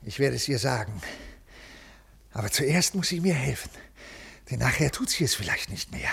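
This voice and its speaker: An actor playing a delirious, guilt-ridden bureaucrat in a 1950s German radio play, halting and anguished as he pleads. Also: medium pitch, lively intonation, creaky, fairly bright tone, husky, heavily acted. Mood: feverish confession. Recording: 1950s radio studio, long reverb.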